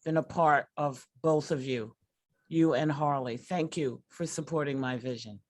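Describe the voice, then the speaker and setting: A woman performer talks in an earnest, informal way, in a very dry sound with no room echo. She is halting, often repeating the first words of a sentence. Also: low voice. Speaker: workshop artist and character-based comedy performer.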